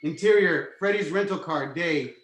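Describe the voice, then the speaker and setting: A man's voice: on the high side, moderate pace, fairly bright tone. A man narrating a screenplay's stage directions as scripted reading, recorded in an echoey room.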